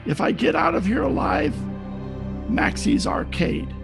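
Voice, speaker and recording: A man playing a cynical, tough-talking private detective in a wry, deadpan 1940s radio style. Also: very high-pitched, measured pace, creaky, heavily acted. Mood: wry, world-weary. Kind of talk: 1940s detective radio acting, narration and dialogue. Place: home, recorded over video call. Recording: video call recording with faint music behind.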